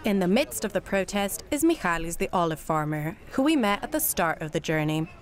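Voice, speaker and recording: A woman reading scripted news narration in a measured way. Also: fairly low pitch, big pitch swings. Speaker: news reporter. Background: music.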